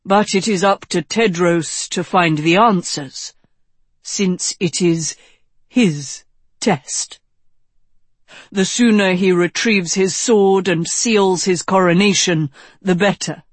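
An elderly wizard as a woman narrator plays him speaks slowly and gravely, instructing and questioning. He is a shrewd mentor in a fantasy storybook, recorded dry and close. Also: on the low side, dry recording. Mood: troubled, grave. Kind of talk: audiobook fantasy character voice.

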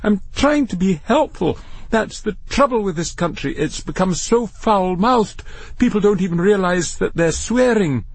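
A man voicing a prim, disapproving man in his thirties in an audiobook, slow, fussy and easily offended. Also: high-pitched, animated, husky, no room sound, voice pushed up, heavily acted. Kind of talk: audiobook character dialogue.

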